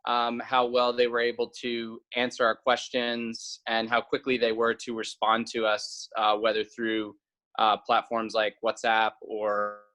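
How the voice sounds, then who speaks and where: A man's voice: mid-range voice, completely flat delivery, unhurried, bright, forceful tone. A man from South Carolina giving conversational interview answers as a guest, a little hesitant and repeating words. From South Carolina, United States. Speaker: interview guest, company founder.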